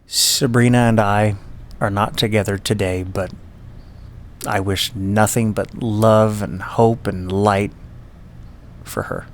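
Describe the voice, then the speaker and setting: Man, lowish voice, reflective personal narration, heartfelt, earnest. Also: fairly even intonation, slight background noise. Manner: earnest, heartfelt, speaks slowly to listeners. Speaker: podcast host.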